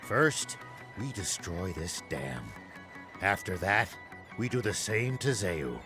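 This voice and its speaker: A man playing a stern, principled older ex-soldier in a video game, speaking gravely and with resolve. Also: low-pitched, very animated, slow pace, over music, full character voice. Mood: grim resolve. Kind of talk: video game dramatic acting.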